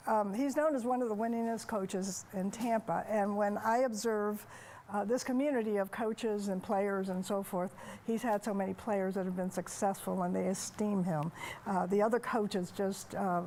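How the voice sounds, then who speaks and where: A woman's voice: medium pitch, fairly even intonation, normal speed, a little mellow, slight background noise, low-quality recording. A woman speaking earnestly and articulately as a museum representative being interviewed. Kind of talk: conversational TV interview.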